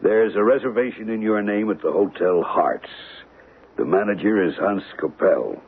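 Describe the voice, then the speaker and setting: An actor playing a fatherly, cautious old diamond dealer in a radio drama, speaking in a measured, kindly way. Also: lowish voice, animated, husky. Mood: businesslike. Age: older. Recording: tinny, narrow-band radio broadcast.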